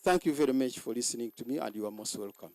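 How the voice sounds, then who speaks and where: A hoarse man giving a boastful ceremony speech as general manager, halting and repeating words. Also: medium pitch, dark tone.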